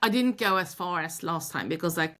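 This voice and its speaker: A woman's voice: on the low side, fairly quick. A Norwegian woman with a Norwegian accent, a knitwear designer being interviewed, telling her story with many restarts and self-corrections. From Norway. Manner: frequent restarts and self-corrections, animated when quoting.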